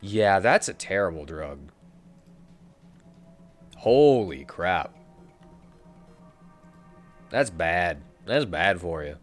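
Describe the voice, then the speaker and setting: A man's voice: deep voice, animated, extremely slow, long pauses, faint music behind. Casual man musing over gameplay. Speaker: let's play host.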